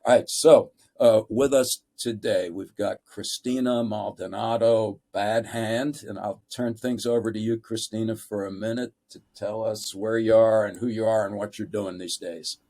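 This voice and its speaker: Low-voiced, courteous male panel moderator. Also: steady tone, moderate pace, few pauses, mellow. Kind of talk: unscripted formal hosting.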